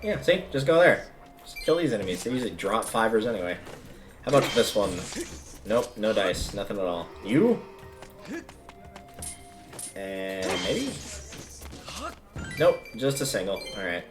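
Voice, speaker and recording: A man's voice: fairly low pitch, big pitch swings, unhurried, pauses often, over music. A man livestreaming a video game, giving casual, rambling commentary as he thinks aloud.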